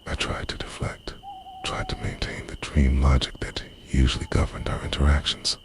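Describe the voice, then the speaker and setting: A man narrates a scripted romantic ASMR roleplay in a hushed, breathy, confessional voice that often slips into a whisper. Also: extremely low pitch, huge pitch swings, normal speed.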